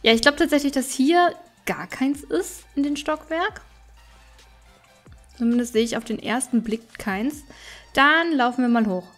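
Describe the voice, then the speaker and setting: A woman's voice: sits high, measured pace, fairly bright tone, faint music behind. A woman giving casual, chatty gameplay commentary, thinking aloud as she plays. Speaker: let's player.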